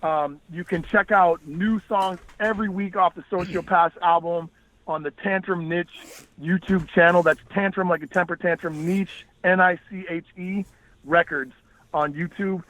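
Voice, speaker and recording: High-voiced man, rapper on a talk show. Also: steady tone, a little slow, fairly bright tone, poor audio. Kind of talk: freestyle battle rap and casual promotional chat.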